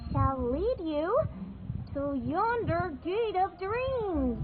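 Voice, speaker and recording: A woman's voice: extremely high pitch, big pitch swings, very slow, background noise, full character voice. A woman playing a theatrical young gothic princess in a video game, pompous and archaic in her delivery. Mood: grandiose. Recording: thin phone-like sound with music behind.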